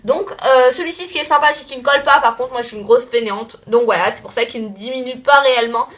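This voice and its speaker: A woman's voice: high voice, quick pace, a bit bright. A young woman with a slightly stuffy voice from a cold, chatting casually and rambling with many fillers about her monthly beauty favorites. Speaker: beauty vlogger.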